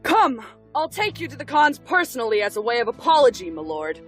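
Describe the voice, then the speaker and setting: A woman's voice: high-pitched, big pitch swings, fairly quick. A woman acting a fierce, proud knight warden in an audio drama, bold and brash in her delivery. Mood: impressed. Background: music.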